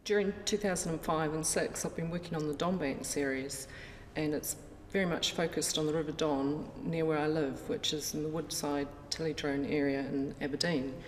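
A woman with a New Zealand accent describes her art series in a relaxed, explanatory way. Her voice sits low. The recording is roomy, with a long hall echo and faint noise. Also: normal speed. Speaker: artist. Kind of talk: interview. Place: indoor gallery.

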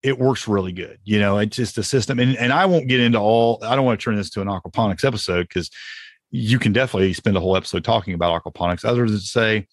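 Low-voiced man chatting casually. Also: fast, dry recording. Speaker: podcast host. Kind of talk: casual chat about home gardening.

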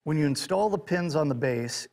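A man's voice: fairly high pitch, expressive, normal speed, creaky, a little mellow. A middle-aged man giving an enthusiastic, conversational product demonstration to camera, stressing his points. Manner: conversational, emphatic. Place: indoor woodworking shop.

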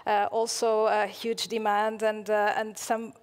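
A woman's voice: mid-range voice, level tone, a little slow. A middle-aged woman answering as a panelist on a stage, hesitant with many fillers. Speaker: panelist, energy expert. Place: conference stage, indoors. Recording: reverberant stage room.

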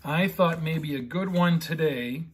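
American man, middle-aged, slightly high pitch, slow pace, expressive storybook reading, casual pastor. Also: rough recording. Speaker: pastor reading bedtime stories to children. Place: living room at home, indoors.

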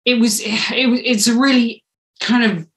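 A woman's voice: fairly high pitch, steady tone, moderate pace. Woman chatting in an echoey room. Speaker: podcast writer and director being interviewed. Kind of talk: casual interview chat. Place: small room at home, indoors. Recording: roomy, echoey home recording.

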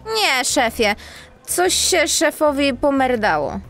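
Wysoki głos dumnej policjantki. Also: female voice, high voice, sing-song, slow pace, fairly bright tone, soft background music, exaggerated voice. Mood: cool. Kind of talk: children's audio drama acting.

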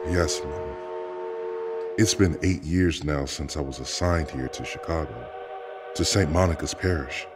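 Deep-voiced, weary devoted priest. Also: male voice, unhurried. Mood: earnest. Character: weary, devoted middle-aged priest, gentle loving son. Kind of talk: audio drama acting. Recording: loud music behind the voice.

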